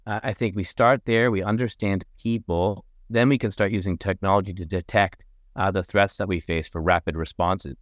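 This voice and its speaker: A man's voice: low-pitched, steady tone, moderate pace, dry recording, studio quality. A man from Morocco speaking as a podcast guest, making an earnest, persuasive case. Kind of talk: podcast conversation.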